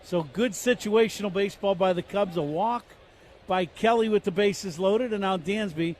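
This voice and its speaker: A man with a notably high voice breaking down pitches and strategy as a radio color commentator. He talks in a conversational, analytical way and leans hard on his key points. Faint noise runs under him. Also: moderate pace, darkish tone.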